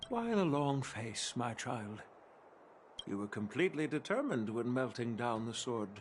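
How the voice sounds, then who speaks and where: A man's voice: mid-range voice, sing-song, measured pace, soft background music. A man acting as a doting elderly general and grandfather in a video game, wise and teasing, with an indulgent, theatrical manner. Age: older.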